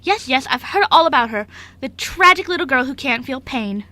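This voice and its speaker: A woman's voice: sits high, expressive, normal speed, sharp tone, dry recording. A woman playing an exasperated, stern orphanage nurse in an amateur radio play, curt and sardonic.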